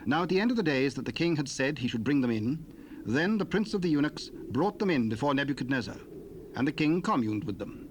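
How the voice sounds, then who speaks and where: A man narrating a dramatized Bible reading in a measured, solemn way, from an old cassette tape. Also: fairly high pitch, big pitch swings, a little hiss or hum, low-quality recording.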